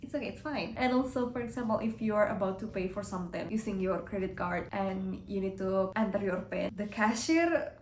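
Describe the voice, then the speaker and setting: A woman's voice: mid-range voice, brisk, a little mellow, a little hiss or hum. A woman in her twenties from Indonesia, chatting casually to camera and often acting out other people's voices. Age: 20s. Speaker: vlogger. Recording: roomy sound with faint music.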